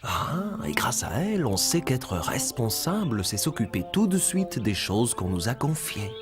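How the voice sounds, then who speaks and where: An actor voicing a calm, wise forest leader in a French children's cartoon, reassuring and gently moralizing. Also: medium pitch, a little slow, over music. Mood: calm, instructive. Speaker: host and storyteller.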